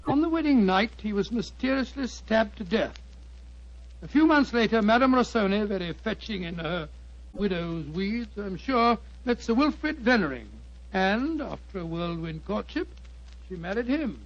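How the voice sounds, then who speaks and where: A high-pitched, bumbling elderly British gentleman. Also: expressive, normal speed. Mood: genial storytelling. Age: older. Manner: avuncular, hesitant, chuckling. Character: Doctor Watson, elderly genial, bumbling English gentleman storyteller. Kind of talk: 1940s radio acting. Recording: dull old 1940s radio broadcast.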